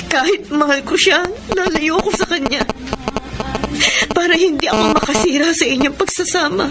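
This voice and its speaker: High voiced guilty mistress in a filipino radio soap, emotional, halting, loud music underneath. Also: female voice. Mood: remorseful. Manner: emotional, halting, pleading delivery. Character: remorseful other woman, tearful, humble, in love. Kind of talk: melodramatic Filipino radio drama acting.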